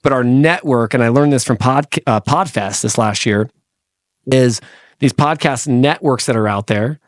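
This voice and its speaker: A man hosting a podcast in a studio, talking in an energetic, rambling way with many restarts. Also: medium pitch, moderate pace, no room sound. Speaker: podcast host. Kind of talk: casual chat. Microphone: studio microphone.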